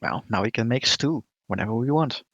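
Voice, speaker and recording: A man's voice: medium pitch, expressive, a little fast, a bit bright, slightly rough, dry recording. A man chatting casually as a player in a tabletop roleplaying game, with slightly lo-fi sound.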